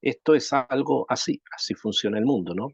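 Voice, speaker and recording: A man from Chile, a rabbi teaching a Torah class, reflective and thoughtful, asking rhetorical questions and pausing to think. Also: mid-range voice, vocal fry, no room sound, dull audio. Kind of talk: Torah lesson.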